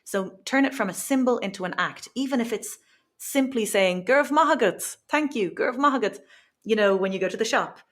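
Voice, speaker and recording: A woman's voice: on the high side, moderate pace. A young woman, a language educator answering interview questions in an earnest, reflective way. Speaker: guest, Irish language educator. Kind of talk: podcast interview.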